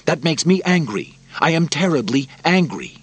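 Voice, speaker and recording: A man doing a shouting, husky young emperor. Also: big pitch swings, moderate pace, no room sound, exaggerated voice. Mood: aggrieved, agitated. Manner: shouting, rising in pitch. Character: angry young male emperor, unstable and vain.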